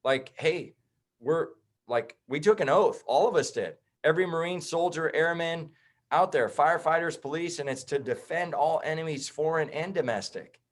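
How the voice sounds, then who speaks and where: A man's voice: on the high side, moderate pace. A man in his thirties, a chiropractor guesting on a podcast, talking bluntly and heatedly in casual chat. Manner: blunt, heated, swears and says bro.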